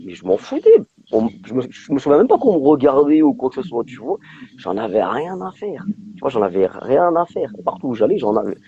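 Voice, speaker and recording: A man's voice: mid-range voice, sing-song, a little fast, vocal fry, warm tone, slight background noise. Man chatting casually on muffled stream. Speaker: live discussion participant. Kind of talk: casual chat. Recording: dull, lo-fi live stream audio.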